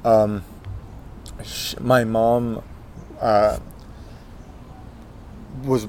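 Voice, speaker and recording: A man in his twenties from Texas, telling his life story in an interview, halting with many fillers and restarts. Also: lowish voice, flat delivery, a little mellow, a little hiss or hum. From Texas, USA. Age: 20s. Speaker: guest, Zen monk.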